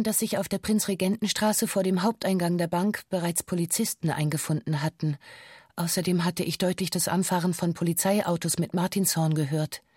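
An actress as a female bank hostage with a lowish voice, recounting events in a radio play. She is formal and sober, measured like an official statement, and recorded close and dry. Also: darkish tone, dry recording, natural voice. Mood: sober, factual. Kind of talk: scripted documentary radio play monologue.

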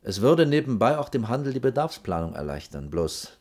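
A man's voice: medium pitch, big pitch swings, brisk, a little mellow. A man playing an exasperated, pragmatic architecture official in a radio drama, brusque and grudging in his delivery. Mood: sceptical, businesslike. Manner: brusque, grudging, swinging to outbursts.

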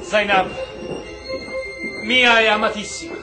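High-pitched, earnest prisoner. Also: male voice, fairly even intonation, slow pace, roomy sound, music in the background. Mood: tender, sorrowful. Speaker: actor. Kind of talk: Italian stage-style radio drama acting.

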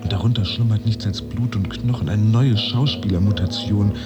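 An actor playing a sardonic employee in a radio drama, narrating layoffs like theatre in a dramatic storytelling manner. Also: male voice, lowish voice, moderate pace, dull audio, loud music behind the voice, lightly acted. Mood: sardonic, ominous. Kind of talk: modern German radio drama monologue.

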